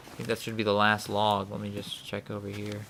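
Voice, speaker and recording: Gravelly, lower-voiced man, let's play host, casual thinking-aloud commentary. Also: monotone, brisk, pushed and bright, a little hiss or hum. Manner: thinks aloud, reads in-game notes aloud.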